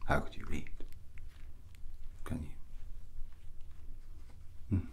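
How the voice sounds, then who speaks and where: A man playing a drunken, arrogant, brooding rogue prince in a fantasy ASMR roleplay, speaking in a breathy whisper with halting, musing phrases. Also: slight background noise. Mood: brooding, tipsy. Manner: slow, halting, musing, haughty.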